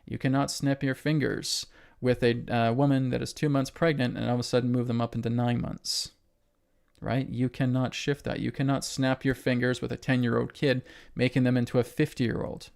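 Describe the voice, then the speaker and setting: Male spiritual host, mid pitch, creaky, emphatic and repetitive lecture delivery. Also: flat delivery, normal speed, darkish tone. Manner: emphatic, repetitive, asks rhetorical questions and tags "right?". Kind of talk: spiritual lecture to an online audience.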